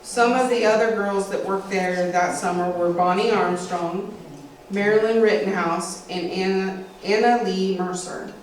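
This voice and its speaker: A woman's voice: medium pitch, steady tone, unhurried. American woman reading aloud in an echoey room. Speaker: speaker at a local historical society event. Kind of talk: reading a prepared family history script aloud with occasional asides. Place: indoor meeting room with a small audience. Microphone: distant camera, speaker at a lectern. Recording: echoey room, distant mic, faint background noise.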